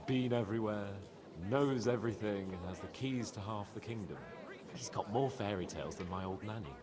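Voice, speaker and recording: A man's voice: fairly low pitch, moderate pace, over music, close to an everyday voice. An actor playing a cocky, jovial medieval nobleman in a video game, teasing and wry, fond of pleasure. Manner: teasing, animated. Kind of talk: video game dialogue acting.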